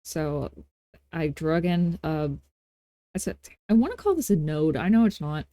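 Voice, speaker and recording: A woman hosting a casual, unscripted software tutorial, talking in a playful, folksy way with informal grammar and self-deprecating asides. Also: low-pitched, animated, measured pace, dry recording, clean studio sound. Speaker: tutorial host.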